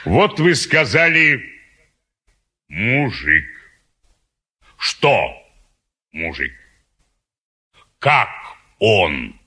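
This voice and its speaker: Low forceful pompous polite official in an old stage comedy, curious, takes his time. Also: very animated, breathy edge, full character voice. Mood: curious, pondering. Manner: deliberate, courteous, ruminating. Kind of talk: classic stage comedy acting.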